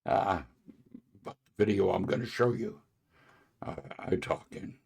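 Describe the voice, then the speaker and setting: Slow, gravelly, hoarse-voiced man. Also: deep voice, fairly even intonation, a little mellow. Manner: halting, with frequent filler pauses. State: hoarse from a sore throat. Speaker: podcast host. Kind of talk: casual faith testimony monologue.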